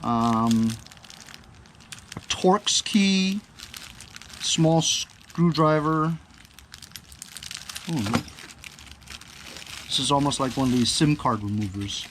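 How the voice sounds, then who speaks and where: A man talks through a product review off the cuff, with ambient noise behind him. He rambles as he thinks aloud and often turns to the viewer with small questions. His pitch sits in an ordinary middle range for a man. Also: animated, drawn-out words, long pauses. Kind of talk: casual unscripted unboxing chat. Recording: clear background ambient noise.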